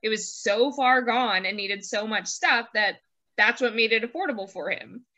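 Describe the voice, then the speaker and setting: Bright, high-pitched woman, playful chat. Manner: playful, quick to laugh. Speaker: host interviewing a guest. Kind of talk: casual chat and quick-fire questions. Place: remote video call.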